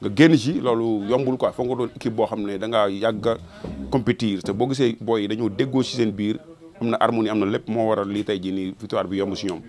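A man's voice: on the low side, steady tone, a little fast, darkish tone, faint music behind. A young man, a football fan, explaining earnestly in a small shop into a handheld mic. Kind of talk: street interview.

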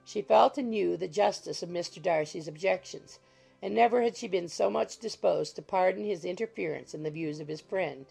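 Gravelly, husky woman narrator. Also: lowish voice, faint music behind. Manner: measured, even storytelling. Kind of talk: scripted audiobook narration.

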